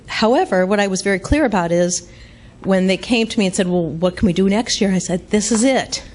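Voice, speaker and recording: Low-voiced woman telling her career story. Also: darkish tone. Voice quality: big voice. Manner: self-deprecating, laughs through anecdotes. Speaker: guest speaker, lawyer. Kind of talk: storytelling talk to an audience.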